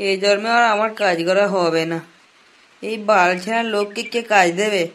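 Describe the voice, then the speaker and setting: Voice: medium pitch, steady tone, normal speed, a bit bright, natural voice. Whiny broke young boyfriend, an actor's cartoon voice. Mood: defensive. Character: broke, jobless young man, pleading crude boyfriend. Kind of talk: comic cartoon dialogue.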